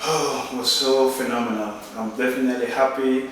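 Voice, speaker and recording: A man's voice: medium pitch, fairly even intonation, a little fast, a bit bright. A man from Costa Rica with a Costa Rican Spanish accent, answering interview questions politely and deferentially as a guest. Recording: echoey room, distant mic, faint noise.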